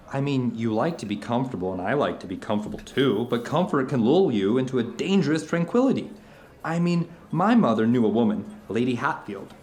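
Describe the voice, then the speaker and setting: Philosophical dinner companion, male actor, earnest and searching, widely varied pitch, emphatic, naturalistic audio drama. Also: a little mellow, close to an everyday voice. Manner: emphatic, searching, asks rhetorical questions. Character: earnest, philosophical man telling anecdotes over dinner, urging his friend.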